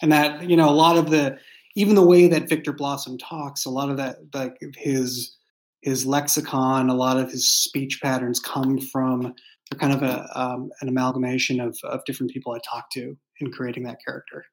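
A man speaking casually in an interview as a writer, thoughtful and halting, often repeating words as he goes. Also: medium pitch, level tone. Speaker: writer and creator of an audio drama. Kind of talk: casual behind-the-scenes interview.

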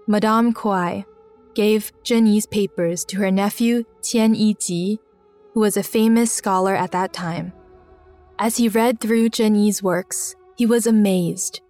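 A woman narrates a scripted children's story in a measured, clearly enunciated way. Her pitch sits in an ordinary middle range for a woman, with soft music underneath. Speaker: narrator of a children's biography podcast.

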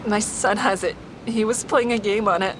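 A woman's voice: medium pitch, fairly even intonation, moderate pace, lightly acted, rough recording. An actress playing a distraught, emotionally fragile mother pleading for help in an audio drama. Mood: anxious distress. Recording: clear outdoor traffic ambience.

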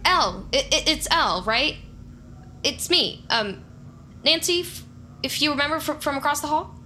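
A woman's voice: sits high, background noise, lightly acted. An actress playing a lonely, nosy, awkward woman who works as a data analyst, in a scripted audio drama. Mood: nervous, eager. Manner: awkward, halting, wry, muttering to herself. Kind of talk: scripted audio drama, dialogue and inner monologue.

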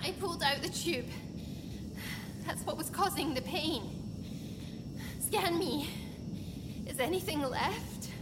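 A woman playing a distressed but determined scientist alone on a derelict spaceship in an audio drama, speaking in halting, breathless bursts. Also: high-pitched, long reverb tail, very noisy. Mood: shaken, pained. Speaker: voice actor in lead role.

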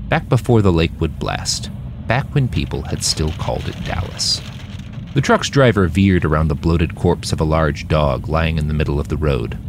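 A man reading scripted fiction narration in an expressive, dramatic storytelling manner. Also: a bass voice, gravelly, noisy background. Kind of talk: scripted audiobook-style narration of a dystopian war novel.

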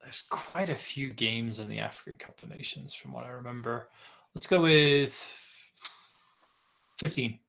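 A man with a gravelly, creaky edge to his voice, chatting casually on a podcast. He talks in a relaxed, easygoing way, reasoning out loud as he works toward his answers. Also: medium pitch, unhurried. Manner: relaxed, easygoing, thinks aloud. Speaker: podcast co-host.

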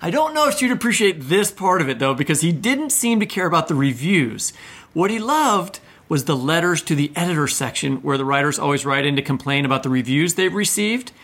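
A man co-hosting a podcast in a casual, animated, informal storytelling way. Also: fairly high pitch, brisk. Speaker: podcast co-host. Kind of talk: casual literary podcast conversation.

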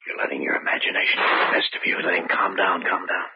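An actor playing a steady, sceptical husband who also narrates the tale, in old-time radio drama style. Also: male voice, low voice, quick pace. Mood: sceptical, soothing. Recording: muffled, very noisy old radio broadcast.

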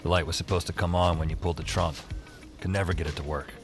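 A man's voice: sits low, fairly even intonation, quick pace, faint music behind. An actor playing a wry, self-deprecating young man who narrates his bewildering adventures in an audio drama. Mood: casual. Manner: casual, wry, colloquial. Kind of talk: audio fiction acting with first-person narration.

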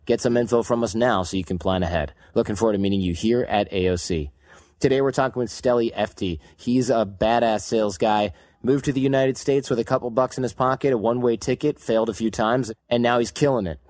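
A man hosting a podcast, reading a brisk, upbeat promo and interviewing a guest. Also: mid-range voice, a little mellow, no echo, studio quality. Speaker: podcast host. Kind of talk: scripted promo read and interview questions.

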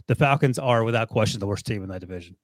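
A man's voice: fairly low pitch, racing, no echo, studio quality. A man co-hosting a casual sports podcast, giving chatty analysis and teasing his co-hosts. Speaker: podcast co-host.

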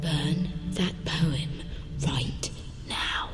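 An actress playing a strict, manipulative voice inside a woman's head, commanding and hushed, in an audio drama. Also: deep voice, music in the background. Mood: menacing, contemptuous. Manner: commanding, hushed, slow deliberate threats dropping to whisper.

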